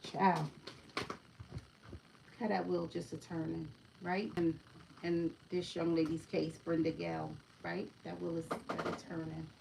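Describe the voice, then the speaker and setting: A woman's voice: on the low side, flat delivery, measured pace, vocal fry, long pauses, recorded from a distance, very noisy. Woman chatting through a card reading. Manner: chatty, keeps asking "right?" and calls listeners "family". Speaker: oracle card reader. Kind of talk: casual livestream card reading.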